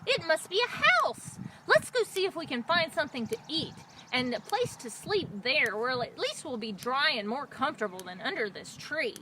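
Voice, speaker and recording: A woman voicing an excitable, anxious rooster in a children's story, shrill and squawking. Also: very noisy. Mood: excited. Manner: shrill, squawking, drawn-out crows.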